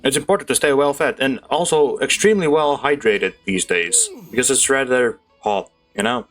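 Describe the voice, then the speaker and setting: A woman's voice: a bass voice, big pitch swings, moderate pace. A woman giving casual, chatty gameplay commentary in a laid-back, slangy way as she streams. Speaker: streamer playing a video game. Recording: faint game music behind.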